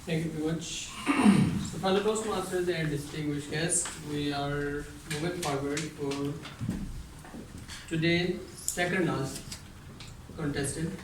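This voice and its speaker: A man hosts a formal event, announcing in a slightly high voice at an unhurried pace. He is heard from off the mic in an echoey room with clear background noise. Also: far from the mic. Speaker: contest host. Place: echoey room at a speech contest.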